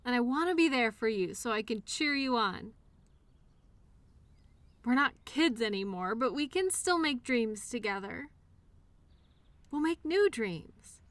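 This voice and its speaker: A loyal imaginary friend from childhood, acted by a woman in an intimate audio roleplay. The voice sits fairly high and is recorded close and dry. The delivery is earnest and tender, full of gentle reassurance. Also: lively intonation, unhurried, pauses often, dry recording. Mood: fond, hopeful. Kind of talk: one-sided audio roleplay, intimate scripted conversation.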